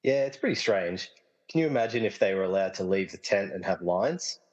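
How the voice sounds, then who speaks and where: A man playing an easygoing, casually explaining film lover trapped in a movie, in a comedy radio play, with a husky voice and long reverb. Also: deep voice, lively intonation, fairly quick, darkish tone, highs cut off. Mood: amused, casual. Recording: long reverberant hall echo.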